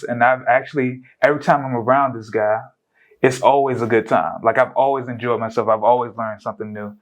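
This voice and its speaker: A man hosting an interview show, chatting casually and hyping up his guest, with quick bursts and slow stressed phrases. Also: mid-range voice.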